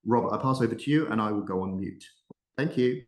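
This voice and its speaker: A man's voice: lowish voice, fast. A man hosting an online class, handing over and narrating a video casually. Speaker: presenter and host.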